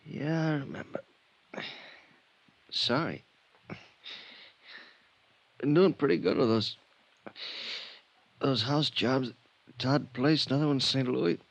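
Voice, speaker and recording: An actor playing a bitter, cornered burglar and killer in an old-time radio crime drama, speaking in halting, clipped sentences. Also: male voice, mid-range voice, vocal fry, no room sound. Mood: remorseful, wistful. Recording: muffled vintage radio recording.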